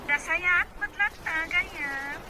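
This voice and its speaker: A woman's voice: very high voice, slow, poor audio. A woman playing a chatty, easily impressed friend in an Ilocano radio drama.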